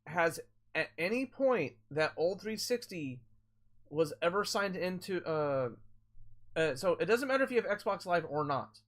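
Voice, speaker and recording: Laid-back man with a higher voice. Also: expressive, a little slow, fairly bright tone. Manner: rambling explanations full of restarts. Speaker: gaming live streamer. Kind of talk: casual live-stream chat answering viewers.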